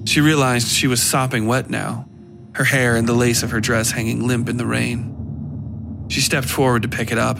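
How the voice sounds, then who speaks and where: Gravelly man narrating a horror story. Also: medium pitch. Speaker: narrator of a fiction podcast. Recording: clear music behind the voice.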